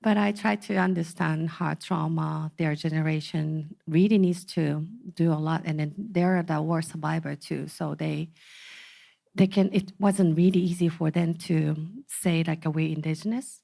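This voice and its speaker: A woman in her forties from Okinawa with a Japanese accent, giving a talk to a community gathering, halting and full of fillers. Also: sits low, fairly even intonation, gravelly, mellow. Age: 40s. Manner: halting, many fillers and restarts. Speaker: speaker.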